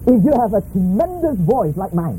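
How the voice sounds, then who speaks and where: A middle-aged man preaching a sermon in short, emphatic phrases with touches of humor, pausing for an interpreter after each one. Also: high-pitched, big pitch swings, warm tone, slight background noise, rough recording. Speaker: guest preacher. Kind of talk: sermon delivered through an interpreter.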